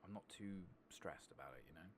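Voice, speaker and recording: Male ASMR game streamer, extremely low soft voice drifting into whispers, casual and rambling, noticeable noise with ambience and clicks. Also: a little fast. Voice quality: soft-spoken, often slipping into whisper. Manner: casual, rambling, murmuring to himself. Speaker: streamer playing an online fantasy game. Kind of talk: ASMR soft-spoken and whispered gameplay commentary.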